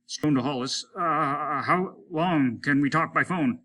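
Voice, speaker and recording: Male narrator as matter-of-fact spaceman, resigned, slightly hesitant, bright pressed voice, storybook. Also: on the high side, slow, far from the mic, pitched above the performer's own voice, full character voice.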